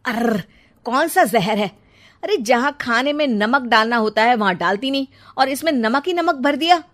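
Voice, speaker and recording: A woman voicing an irritable young husband in a cartoon story, scolding loudly and finding fault. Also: on the high side, animated, normal speed, pitched above the performer's own voice. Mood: exasperated.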